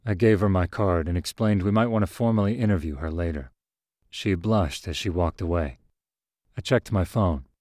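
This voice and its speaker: Male narrator, scripted first-person fiction. Fairly low voice, very dry sound. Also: moderate pace, darkish tone, no room sound.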